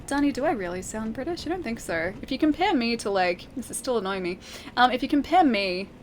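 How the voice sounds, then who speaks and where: A woman's voice: a little high, lively intonation, brisk, a little hiss or hum. An Australian woman streaming a game, chatting casually and reading her chat aloud, laughing often and thinking aloud with plenty of fillers.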